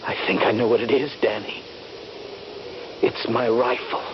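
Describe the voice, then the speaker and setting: An actor playing a trapped, wounded Union soldier, fearful and halting, in a 1970s radio drama. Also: male voice, medium pitch, fairly even intonation, lightly acted. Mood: mounting dread. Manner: halting, dramatic, intense delivery. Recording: noisy, dull 1970s radio drama recording.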